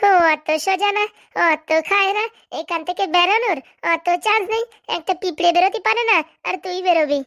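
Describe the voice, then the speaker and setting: A woman's voice: extremely high pitch, fairly quick, a bit bright. A woman voicing a menacing veteran prison boss who taunts and brags like a queen in a comedy cartoon. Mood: taunting, bragging. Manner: taunting, bullying, bragging. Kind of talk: Bengali comedy cartoon dialogue.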